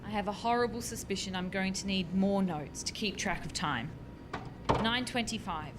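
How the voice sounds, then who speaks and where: A woman's voice: normal speed, lightly acted. A woman playing an anxious, bossy scientist in a radio farce, exclaiming and cautioning in exasperation. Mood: cautious, brisk. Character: anxious, bossy female scientist juggling time-travel experiments. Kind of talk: radio play farce acting. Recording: clear background noise with low rumble.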